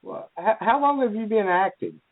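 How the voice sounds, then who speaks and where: Lively male host on a phone line. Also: high voice, moderate pace, no room sound. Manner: lively, eager interviewer. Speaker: interview host. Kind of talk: casual interview.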